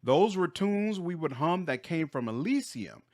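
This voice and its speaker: A man's voice: fairly high pitch, very animated, unhurried, voice pushed up. A man voicing an exasperated female warrior companion in a fantasy game, dry and grudging.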